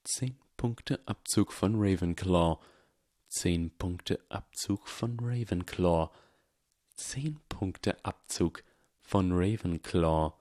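A man voicing a cold, contemptuous male potions teacher who talks slowly and with icy disdain. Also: low-pitched, dark tone, dry recording, studio quality. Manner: slow, icy and sneering.

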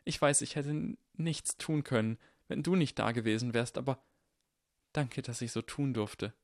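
A man voicing a shy, sincere schoolboy in an audiobook, speaking in an earnest, halting, plainspoken way. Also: mid-range voice, no echo, clean studio sound, pitched above the performer's own voice, natural voice.

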